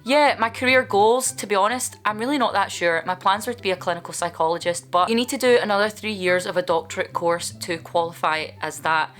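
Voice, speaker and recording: A woman's voice: medium pitch, brisk, few pauses, a bit bright, soft background music. A woman, vlogging in a chatty, candid way as she answers viewer questions, with playful asides. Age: 20s.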